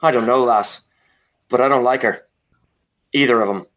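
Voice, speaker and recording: A man's voice: mid-range voice, moderate pace, fairly bright tone, close to an everyday voice. A man playing a loyal, defiant space-station chief in a comedy sci-fi radio play, blunt and protective.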